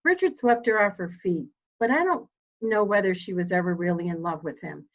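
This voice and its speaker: A woman's voice: medium pitch, lively intonation, close to an everyday voice. Woman playing a dignified elderly matriarch, slightly muffled. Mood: sorrowful, candid. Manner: formal, deliberate, commanding. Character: elderly dying matriarch, dignified, steely, grieving mother. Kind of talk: dramatic reading of a murder mystery.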